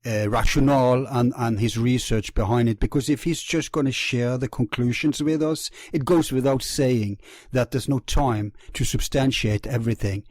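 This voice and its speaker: A man hosting a podcast interview, speaking deliberately and addressing his listeners directly. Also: mid-range voice, fairly even intonation, darkish tone, dry recording, clean studio sound. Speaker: podcast host.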